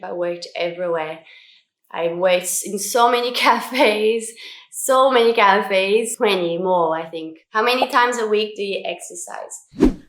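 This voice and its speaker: A woman's voice: medium pitch, fairly bright tone. Young Australian-accented woman in an echoey room. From Brazil, moved to Australia at twelve. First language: Portuguese. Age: 20s. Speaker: vlogger. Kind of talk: casual Q&A chat to camera.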